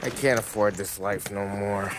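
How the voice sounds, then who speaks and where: An actor playing a hot-headed, ruthless American gangster, brash and streetwise, in cinematic game acting. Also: male voice, fairly low pitch, steady tone, moderate pace, very noisy, natural voice.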